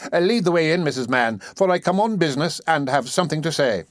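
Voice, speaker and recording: A fat, choleric beadle, as a man plays him, puffed up with his own importance and fond of grand words. His voice sits raised above the reader's own and a touch louder, delivered with stately, self-satisfied weight. It is a storybook voice in a dry, close recording. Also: a little high, expressive, fast talker, dry recording, pitched above the performer's own voice, lightly acted. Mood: pompous. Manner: pompous, self-important oratory. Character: fat, choleric, pompous male parish beadle.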